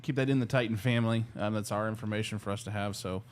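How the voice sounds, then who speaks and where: A man's voice: fairly low pitch, fairly even intonation, quick pace, clear, resonant tone. A man co-hosting an unscripted workplace talk show in an informal, conversational way. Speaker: co-host, security company staff.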